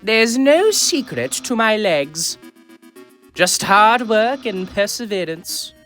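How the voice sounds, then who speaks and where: Game character: vain, naive skeleton showman. Slow, pompous delivery, extremely animated pitch, theatrical cartoon bluster. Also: heavily acted. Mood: smug, coy. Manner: grandiose, theatrical, swinging from hushed asides to loud exclamations. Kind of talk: amateur video game character dub. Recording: voice over background music.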